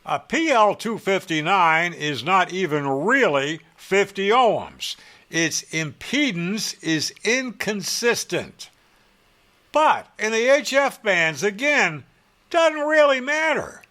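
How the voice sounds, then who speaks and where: A man's voice: high voice, big pitch swings, takes it slowly, sharp tone. A man presenting a hobbyist how-to, speaking in an opinionated, emphatic way with wry asides. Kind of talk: how-to narration with sarcastic asides.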